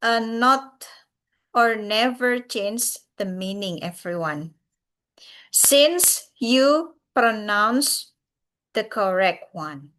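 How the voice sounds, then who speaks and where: A woman from Central Java with a Javanese accent, giving a recorded English lecture, pausing often with "uh" and "yeah" and addressing the class as everyone. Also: fairly high pitch, lively intonation, a bit bright. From Central Java, Indonesia. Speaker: university lecturer.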